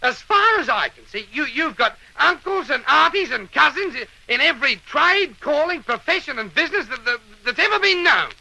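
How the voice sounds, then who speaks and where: An actor playing an exasperated, blustering Australian schoolteacher who stammers and scolds, in an old radio comedy. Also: male voice, extremely high pitch, sing-song, moderate pace, gravelly, hardly any pauses, bright, forceful tone, full character voice, rough recording. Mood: exasperated, spluttering. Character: exasperated, blustering male schoolteacher bossing silly pupils.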